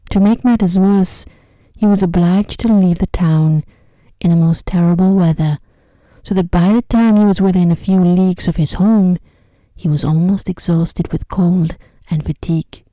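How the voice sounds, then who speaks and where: A woman reads a sleep story in a hushed, measured ASMR style. Her voice is on the low side and extremely dark and soft in tone. The sound is close and dry, with hardly any room around it. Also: no room sound. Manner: hushed, gentle, measured reading. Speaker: narrator of sleep stories.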